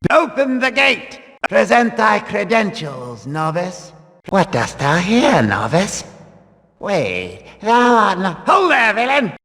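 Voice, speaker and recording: An actor playing a gruff, pious, suspicious temple guard in a video game, with a gravelly voice and formal archaic declamation. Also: male voice, high-pitched, huge pitch swings, slow, sharp tone, exaggerated voice. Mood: suspicious challenge. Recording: long hall reverb.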